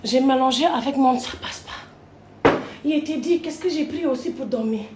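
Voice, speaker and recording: Woman, high voice, crude rambling rant, lots of swearing, echoey room. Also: normal speed, very noisy. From Côte d'Ivoire. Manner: crude, swearing, wandering storytelling. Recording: roomy echo.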